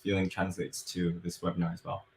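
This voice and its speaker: A man with a Korean accent speaks hesitantly, with plenty of fillers, as a guest in a webinar. His voice is fairly low. The sound is roomy, with a noticeable echo from the space he is in. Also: completely flat delivery, a little fast. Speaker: startup advisor and guest. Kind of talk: casual webinar conversation.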